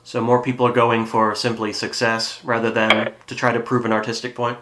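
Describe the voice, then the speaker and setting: A man hosting a radio interview, asking short questions and giving quiet backchannels. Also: medium pitch, little pitch movement, brisk, noisy background.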